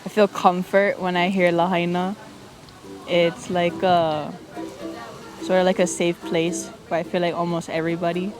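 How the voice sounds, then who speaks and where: A teenage girl from Hawaii, a student, speaking softly and unhurriedly in a documentary interview. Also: fairly low pitch, level tone, normal speed, music in the background, low-quality recording.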